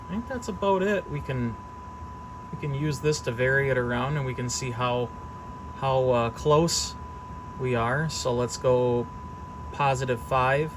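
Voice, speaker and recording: A man's voice: mid-range voice, measured pace, noisy background. A man giving a casual, unscripted equipment demonstration as a hobbyist technician, thinking aloud hesitantly as he goes. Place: service workshop, indoors.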